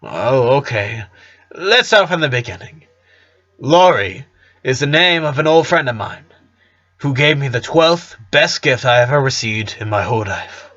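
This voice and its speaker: Man as middle-aged storybook father, easygoing, joking, explanatory, medium pitch, dull lo-fi sound. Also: expressive, a little slow, fairly bright tone. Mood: easygoing, reminiscing. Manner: chatty, explanatory, teasing.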